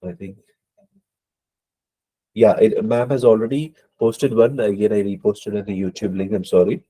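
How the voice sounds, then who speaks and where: A man's voice: on the low side, fairly even intonation, normal speed, dark tone, slightly rough, low-quality recording. A man with a cold teaching an online exam-prep class, hesitant, with many fillers and apologies. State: hoarse from a cold. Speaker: IELTS instructor.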